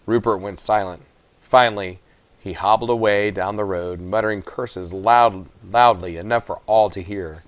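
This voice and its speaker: A man's voice: on the low side, fairly even intonation, moderate pace, no room sound. Man reading a children's novel, dry sound. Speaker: storybook reader. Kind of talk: scripted children's novel narration.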